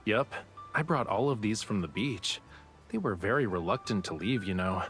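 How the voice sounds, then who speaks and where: A teasing young merman artist from a game, lowish voice, music behind him. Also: lively intonation, normal speed, fairly bright tone. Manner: teasing, playful. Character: playful teasing young male sea-folk artist. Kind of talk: game voice acting.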